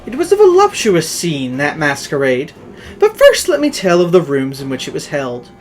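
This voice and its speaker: A narrator reading a horror tale in a theatrical, dramatic way with drawn-out emphasis. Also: mid-range voice, wildly swooping pitch, soft background music.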